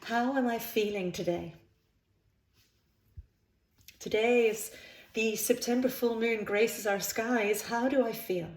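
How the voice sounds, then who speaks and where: Woman guiding meditation in an echoey room. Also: a little high. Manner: soothing, unhurried phrasing with pauses. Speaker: yoga nidra instructor. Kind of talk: guided relaxation meditation. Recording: roomy, echoing sound.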